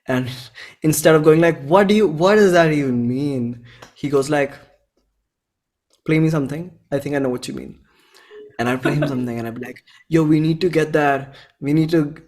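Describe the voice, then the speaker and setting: A man in his twenties with an Indian accent, chatting casually as an interview guest and retelling exchanges in slangy, animated quotes. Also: fairly high pitch. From Bhopal, India. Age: 20s. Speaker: interview guest, singer-songwriter. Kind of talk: casual chat.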